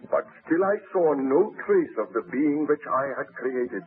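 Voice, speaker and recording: Remorseful young baron-scientist. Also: male voice, on the high side, lively intonation, unhurried. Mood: narrating. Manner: formal, earnest, solemn. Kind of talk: 1930s radio drama acting. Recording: tinny old radio broadcast with hiss.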